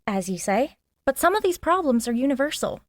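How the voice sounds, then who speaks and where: An actress playing a frank, nosy working-class maid in a 1920s period audio drama, with a folksy, plain-spoken manner. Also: female voice, high voice, very animated, fairly quick, dry recording. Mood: frank, probing. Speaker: actor.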